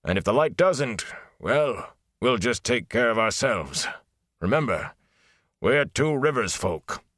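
A man voicing a weary, heavy-set middle-aged village blacksmith who speaks slowly and deliberately to comfort a younger man. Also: mid-range voice, sing-song, a bit bright, no echo, clean studio sound, pitched above the performer's own voice, exaggerated voice. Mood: weary, steadying.

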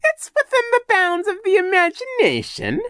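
A man puts on a foolish, sugary fake-lady receptionist with an evil villain underneath. The voice is a ridiculously high falsetto that swoops wildly. It drags out its words theatrically, in storybook style with a very dry sound. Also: huge pitch swings, a bit bright, no echo, exaggerated voice. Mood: mock-sweet. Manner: mock-sweet, drawn-out, theatrical.

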